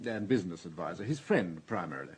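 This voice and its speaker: An actor playing a guarded, stiffly proper business advisor in a radio drama, speaking formally with defensive hesitations. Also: male voice, sits low, animated, fast, husky, exaggerated voice.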